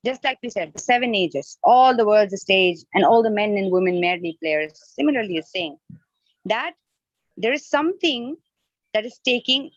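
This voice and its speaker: A woman's voice: medium pitch, expressive, moderate pace, dry recording. A woman whose native language is Nepali gives an interactive devotional lecture as a spiritual teacher, often posing questions and rephrasing her points. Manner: questioning, explains by rephrasing and repeating. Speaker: spiritual teacher leading an online class. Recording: dull lo-fi online call audio.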